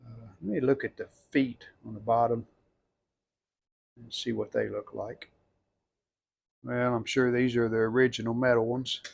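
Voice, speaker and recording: A man giving casual how-to narration as a hobbyist restorer, plain-spoken and folksy, low-key, talking in short phrases. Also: flat delivery, a little slow, vocal fry, pauses often, husky, lo-fi sound. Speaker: hobbyist restorer demonstrating a repair.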